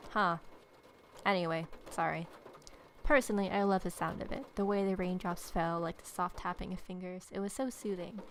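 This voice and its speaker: A woman's voice: on the low side, fairly even intonation, normal speed, slight background noise. A woman doing a casual let's-play, reading game text aloud and adding wry, sardonic asides. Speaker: gaming video host. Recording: faint game music behind. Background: soft game music.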